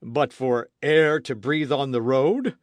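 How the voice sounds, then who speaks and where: A man's voice: medium pitch, slow pace, no room sound, close to an everyday voice. A man reading a book aloud, voicing a stubborn skeptic who keeps objecting insistently. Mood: skeptical. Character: doubtful, stubborn male skeptic.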